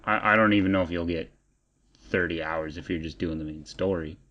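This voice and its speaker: Low-pitched man on a lo-fi podcast. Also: steady tone, a little fast, fairly bright tone. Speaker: podcast co-host. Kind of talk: casual chat about games and films. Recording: dull, band-limited lo-fi sound.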